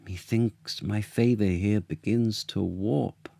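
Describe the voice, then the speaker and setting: A man's voice: on the low side, fairly even intonation, slow pace, vocal fry, mellow, no echo, voice pushed up. A man voicing an anxious, loyal royal adviser in a storybook, pleading urgently in a raised voice. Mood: uneasy.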